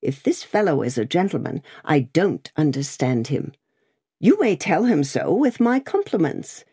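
A woman voicing a blatant, bullying gentleman who hectors and scorns in a raised, blustering voice. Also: mid-range voice, husky, no room sound, studio quality, pitched above the performer's own voice. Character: blatant bullying loud-mouthed gentleman, adult man.

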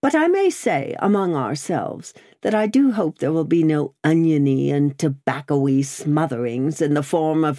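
A woman voicing a brisk, opinionated, loyal female servant in a storybook, with an emphatic, bossy but fond manner. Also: sits low, a little mellow, no room sound, clean studio sound.

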